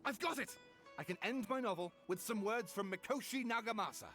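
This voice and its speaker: A man's voice: high voice, fairly quick, bright, forceful tone, soft background music. A man with a British accent playing a theatrical novelist who declaims a tragic tale in a video game. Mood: excited. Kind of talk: dramatic game storytelling. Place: studio voice recording.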